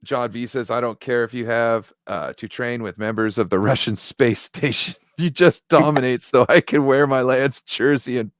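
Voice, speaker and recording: A man's voice: medium pitch, normal speed, few pauses, dry recording, studio quality. A man co-hosting a sports fan show in casual, slangy banter, often checking in with the listener. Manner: slangy, informal, peppers talk with check-in phrases.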